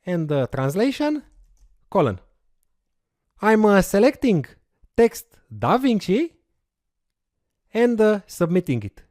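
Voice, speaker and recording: Lively-pitched instructor. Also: slow, pauses often, no echo, studio quality. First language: Romanian. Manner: explanatory, walks through steps with occasional fillers. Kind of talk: recorded course lecture with screen demo.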